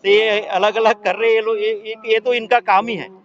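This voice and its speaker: A man's voice: high voice, level tone, moderate pace. A man speaking as an activist in an interview, repeating himself and often searching for words. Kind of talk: spoken interview answers. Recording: dull muffled audio, loud crowd behind.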